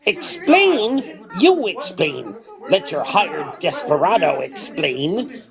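A man's voice: sits high, sing-song, slow pace, gravelly, heavily acted, low-quality recording. Blustering, wildly swooping outraged man. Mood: indignant. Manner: declamatory, blustering. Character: outraged, melodramatic male customer, theatrically accusing. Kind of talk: 1940s radio comedy acting. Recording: vintage radio with crowd noise.

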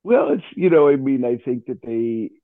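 A man's voice: mid-range voice, big pitch swings, normal speed, no echo. A man chatting casually in a conversational, chuckling manner, like a radio show host. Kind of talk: casual chat. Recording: narrow phone-like radio line.

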